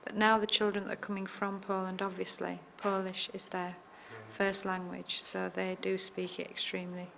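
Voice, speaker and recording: A middle-aged woman speaks in a level, even tone that barely rises or falls. She is measured and matter-of-fact, explaining things in an interview, with a faint background hum. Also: medium pitch, vocal fry. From England. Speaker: Polish school teacher. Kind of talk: oral history interview.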